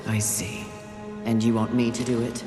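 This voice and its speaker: A woman's voice: extremely low pitch, flat delivery, moderate pace, echoey room, big-room sound, over loud music. An actress playing a proud, short-tempered female warrior in a video game, curt, imperious and combative. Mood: curt, impatient. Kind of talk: dramatic video game acting.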